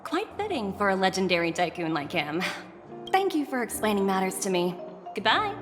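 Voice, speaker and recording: A woman acts out a young female executive from a video game. She speaks formally and politely with very lively intonation, sharp and quick to exasperation, over clear background music. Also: medium pitch, close to an everyday voice. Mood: curious, polite. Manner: formal and deferential.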